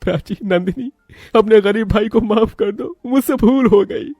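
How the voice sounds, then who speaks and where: A man's voice: high voice, little pitch movement, a little slow, creaky, warm tone, dry recording, studio quality, faint music behind, pitched above the performer's own voice. A man voicing a humble, devoted poor elder brother in a cartoon moral story, pleading and sorrowful. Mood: tearful apology. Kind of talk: animated moral-story acting.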